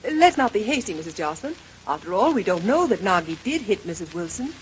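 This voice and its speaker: A woman's voice: mid-range voice, very animated, fast, close to an everyday voice. An actress playing a composed, polite lady detective who questions people in a controlled way, in an old radio mystery. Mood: calm, courteous. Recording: noisy old radio drama recording.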